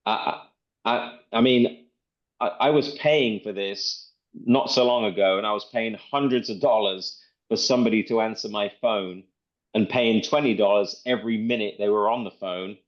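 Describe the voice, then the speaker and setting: Man pitching software, dull lo-fi audio. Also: moderate pace. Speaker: presenter demonstrating software. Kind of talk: casual sales presentation.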